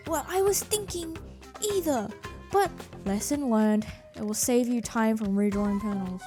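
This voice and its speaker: Woman, high voice, casual video commentary, irreverent and self-deprecating, music behind. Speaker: animator narrating a making-of video.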